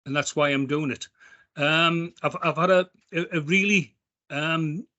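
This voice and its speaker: Earnest Geordie man, bright voice. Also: a little high, muffled recording. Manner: halting, with many ums and restarts. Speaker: member of a veterans' charity speaking at an online event. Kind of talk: heartfelt spoken appeal.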